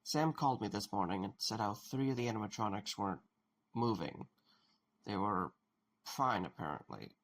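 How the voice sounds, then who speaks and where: A man playing a wary, blunt questioner in an audio drama, with a gravelly, husky voice and a probing, sardonic manner. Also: on the low side, animated, moderate pace, slight background noise. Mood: curious, pressing.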